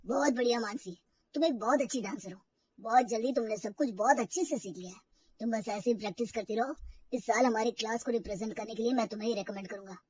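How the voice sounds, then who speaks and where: A woman's voice: a little high, fast, darkish tone, breathy edge, recorded from a distance. Firm, fair male teacher acted by a woman, instructive, audio-story style, slightly muffled. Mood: approving.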